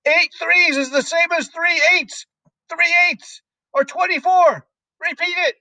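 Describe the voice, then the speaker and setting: A man voicing a furious, tyrannical older woman headmistress in a storybook, shrill and bullying as she shouts. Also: sing-song, moderate pace, dry recording, recorded from a distance, full character voice, poor audio. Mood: enraged.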